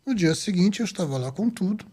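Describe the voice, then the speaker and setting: A man in his seventies from the Azores, a doctor telling his story in an interview, lively and acting out the dialogue he recalls. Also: high-pitched, fairly quick, husky. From Azores, Portugal. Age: 70s. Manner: lively, acts out quoted dialogue. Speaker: doctor guest.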